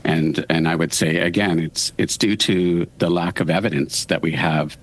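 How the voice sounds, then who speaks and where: A man giving formal expert testimony, measured and hesitant, with technical wording. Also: sits low, steady tone. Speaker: expert witness at a committee hearing.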